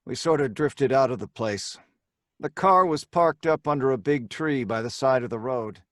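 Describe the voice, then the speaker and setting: A man's voice: medium pitch, normal speed, fairly bright tone, dry recording, natural voice. Dry, close-sounding male crook narrator, played by an actor. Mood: matter-of-fact. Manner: laconic, plain-spoken storytelling. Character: cool, terse male criminal narrating his story. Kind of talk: first-person hardboiled radio drama narration.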